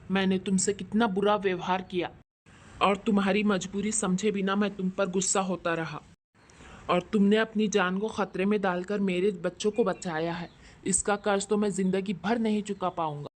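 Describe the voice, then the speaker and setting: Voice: medium pitch, close to an everyday voice. A performer voicing a male shop landlord in a scripted Hindi moral story. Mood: remorseful, grateful. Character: callous male shop landlord, later humbled and grateful.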